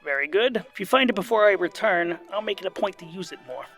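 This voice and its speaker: A man's voice: high voice, expressive, fast talker, fairly bright tone, faint music behind. A man playing a world-weary, sardonic lord and adventurer in a comic fantasy audio drama. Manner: curt, sardonic, exasperated.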